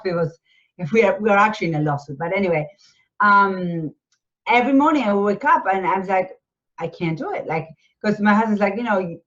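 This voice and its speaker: A woman's voice: mid-range voice, a bit bright. Argentine-born woman, dull lo-fi sound. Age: 40s. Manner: explanatory, frequent rhetorical tags like "right?". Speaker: panelist, business coach. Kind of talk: conversational interview answers.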